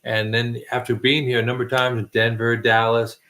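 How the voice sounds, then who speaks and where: A man's voice: medium pitch, level tone, a little fast, bright, forceful tone. A middle-aged man hosting a casual late-night chat, rambling with many restarts and self-deprecating jokes. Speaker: host.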